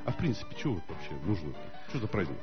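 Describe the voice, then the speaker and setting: A male host fires off questions in a quick, lively, joking manner. His pitch moves around animatedly. The sound is muffled and lo-fi, with music clearly audible behind. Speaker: talk-show host. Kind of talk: studio talk-show discussion.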